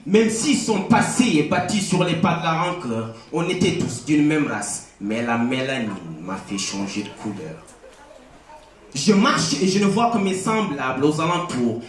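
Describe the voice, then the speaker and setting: A man reciting slam poetry in a solemn, impassioned, declamatory manner. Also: fairly high pitch. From Mauritania. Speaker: slam poet. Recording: very echoey, distant mic, faint noise.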